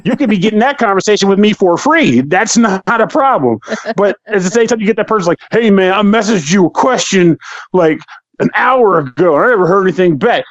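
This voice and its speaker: A man's voice: sits high, animated, brisk, no echo, muffled recording. A man co-hosting a casual podcast, rambling and swearing freely as he rants and jokes. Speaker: podcast co-host.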